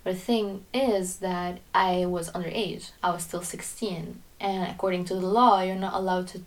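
A woman's voice: on the low side, steady tone, moderate pace, far from the mic, poor audio. Young woman, slightly off-mic. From Syria. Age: young adult. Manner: candid, reflective, restarts sentences. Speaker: podcast guest. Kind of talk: personal storytelling interview.

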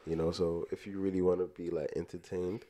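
Young man, grew up in Dublin, Nigerian heritage, podcast co-host, very deep voice, laid-back, filler phrases. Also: level tone, a little fast, dark tone. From grew up in Dublin, Ireland; Nigerian. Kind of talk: casual chat.

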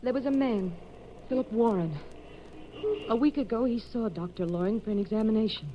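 An actress playing an anxious, polite receptionist in a hesitant 1950s radio drama style. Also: female voice, fairly high pitch, brisk, natural voice. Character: distraught young female doctor's receptionist, anxious and polite. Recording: dull, hissy vintage 1950s radio recording.

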